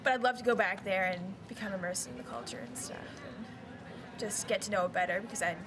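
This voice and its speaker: A woman's voice: mid-range voice, lively intonation, fast talker, background noise. A young woman student talking casually and chattily about studying languages. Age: young adult. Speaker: student interviewee.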